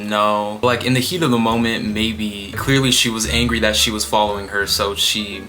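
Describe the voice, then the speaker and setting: A man's voice: fairly low pitch, flat delivery, moderate pace, pushed and bright, a little hiss or hum, poor audio. A young man giving casual reaction commentary, chatty and incredulous, with lots of fillers. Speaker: commentary YouTuber. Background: background music.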